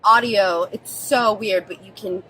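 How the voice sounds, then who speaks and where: A woman's voice: medium pitch, measured pace, pushed and bright, slight background noise, poor audio. An American woman speaking as a guest in a casual interview, explaining things in an informal, chatty way. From born in Israel, grew up mostly in New Jersey, US.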